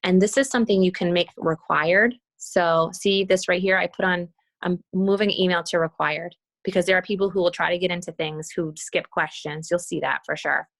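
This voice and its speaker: Creaky-voiced woman giving a casual tutorial. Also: fairly low pitch, fairly even intonation, normal speed, a bit bright, dry recording. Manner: casual, thinking aloud while demonstrating. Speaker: team leader giving a tutorial. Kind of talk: informal screen-share walkthrough on a group call. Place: video call with screen share.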